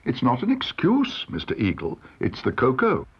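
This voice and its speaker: A man's voice: mid-range voice, wildly swooping pitch, normal speed, breathy edge, highs cut off, full character voice. A man voicing a polite, literal-minded, innocent young bear in a children's storybook reading. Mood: earnest.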